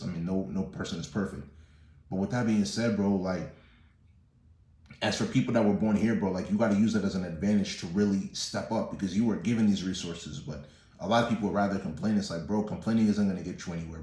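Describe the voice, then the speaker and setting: A young man talks fast in a lowish voice, preaching a casual motivational message and leaning on "bro" and "you know what I'm saying". His voice bounces around an echoey room. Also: a little mellow. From Nigerian family, born in the US. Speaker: motivational vlogger. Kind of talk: casual motivational talk to camera.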